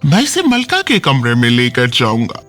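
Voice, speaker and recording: A man's voice: medium pitch, wildly swooping pitch, a bit bright, soft background music. Considerate palace cook, acted by a man. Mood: thoughtful. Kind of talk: children's cartoon storybook.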